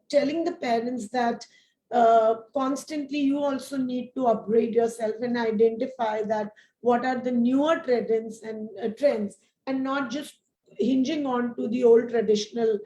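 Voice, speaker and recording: Lady hosting a panel, high voice, kinda halting and wordy, echoey with a bit of noise. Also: dark tone. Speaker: moderator of an education panel discussion. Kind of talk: conversational panel moderation. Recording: echoey room, faint background noise.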